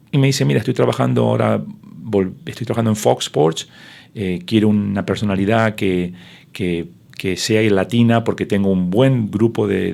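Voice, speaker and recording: Deep gravelly Spanish-speaking man. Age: middle-aged. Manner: animated, hesitant with many fillers and restarts. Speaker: interview guest, sports broadcaster. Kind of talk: conversational interview, storytelling.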